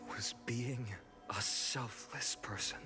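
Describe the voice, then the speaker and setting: An actor playing a noble, gentle young lord in a video game, formal, measured and earnest. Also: male voice, mid-range voice, steady tone, lightly acted. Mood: solemn, reverent. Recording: music behind the voice.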